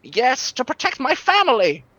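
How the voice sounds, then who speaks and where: A woman's voice: high voice, wildly swooping pitch, moderate pace, fairly bright tone, dry recording, heavily acted. A woman playing a slippery, pleading male con artist in a comic radio play, stammering and wheedling as the character talks.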